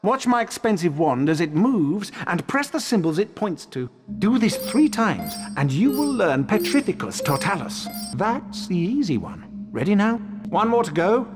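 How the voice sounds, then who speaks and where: An actor playing a vain, showy British wizard professor in a video game, pompous and self-satisfied. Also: male voice, high voice, sing-song, normal speed, big-room sound, over music. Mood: smug, showy. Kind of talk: video game character acting.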